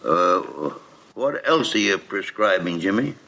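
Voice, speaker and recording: A man's voice: low-pitched, animated, a little slow, sharp tone, exaggerated voice, poor audio. An older American actor playing a crusty, irascible old male doctor in 1950s radio drama style, blustery and stammering as he repeats himself. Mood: suspicious, testy.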